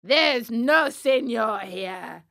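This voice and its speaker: A woman voicing a huge bearded puppet master in a children's storybook, gruff and bullying yet soft-hearted, with loud, commanding delivery. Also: lively intonation, drawn-out words, dry recording, heavily acted. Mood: roaring orders. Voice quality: hoarse, booming.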